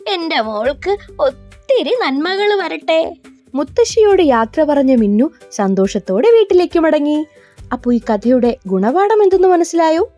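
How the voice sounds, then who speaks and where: High, mellow-voiced woman storyteller. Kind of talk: scripted children's bedtime narration.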